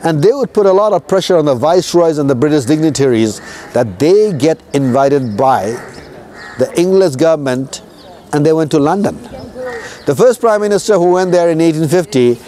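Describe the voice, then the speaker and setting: Nepali tour guide with a mellow voice. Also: male voice, fairly high pitch, expressive, moderate pace. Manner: conversational, asks listeners "right?" often. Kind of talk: casual spoken history talk to tourists.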